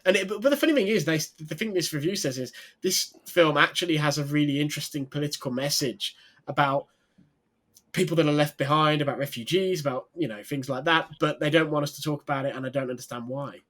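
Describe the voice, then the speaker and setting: Male co-host, higher voice, halting, lots of fillers. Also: a bit bright. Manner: hesitant, many ums and restarts. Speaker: podcast co-host. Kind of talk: casual video chat.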